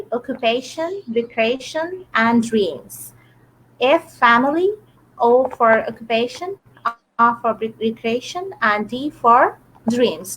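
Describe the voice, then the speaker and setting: A woman's voice: high voice, bright, forceful tone, a little hiss or hum, rough recording. A woman teaching an interactive English class on a video call, explaining step by step in a patient way. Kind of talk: live online class.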